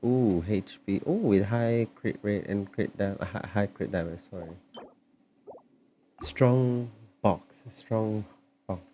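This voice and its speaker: Deep, mellow, slow-talking male game streamer. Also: slight background noise. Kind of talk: casual livestream gameplay commentary. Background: game music.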